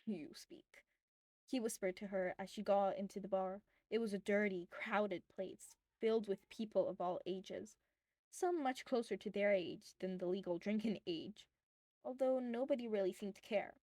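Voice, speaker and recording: A woman's voice: medium pitch, normal speed, dark tone, dry recording. A woman reading fan fiction aloud as scripted, audiobook-style narration.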